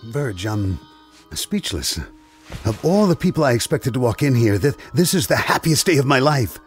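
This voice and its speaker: Cornered wealthy schemer for radio drama, male actor: mid-pitched, animated, stammering false cordiality, cowardly. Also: normal speed, soft background music, close to an everyday voice. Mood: falsely amazed. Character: rich, cornered, cowardly male double-crosser.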